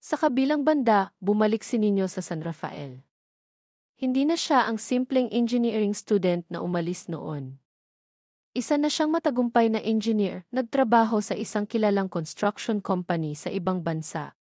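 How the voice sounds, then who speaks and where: A woman's voice: mid-range voice, lively intonation, normal speed, darkish tone, no echo. Woman narrating a romance story, dry close sound. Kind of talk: scripted narration of a romance audio drama.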